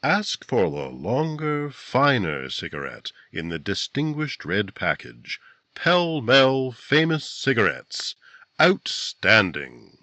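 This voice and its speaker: An actor playing a polished, earnest 1950s radio announcer, delivering scripted copy in a formal, emphatic way. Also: male voice, medium pitch, huge pitch swings, slow, pushed and bright, no echo, heavily acted. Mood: emphatic selling.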